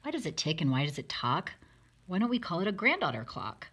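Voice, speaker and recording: A woman's voice: lowish voice, very animated, brisk, noisy background. A woman voicing a curious, persistent little girl full of questions in a children's storybook. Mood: inquisitive. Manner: questioning, insistent.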